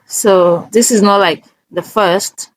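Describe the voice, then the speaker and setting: Slow-paced woman giving a tutorial. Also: mid-range voice, steady tone, no room sound, low-quality recording. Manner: instructive, often checking in with "okay?". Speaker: tutorial presenter. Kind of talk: casual step-by-step screen tutorial.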